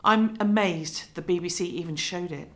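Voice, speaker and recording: A middle-aged woman playing a gracious, quietly resolute journalist near retirement in conversational radio drama dialogue. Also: fairly low pitch, moderate pace, lightly acted. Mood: wistful.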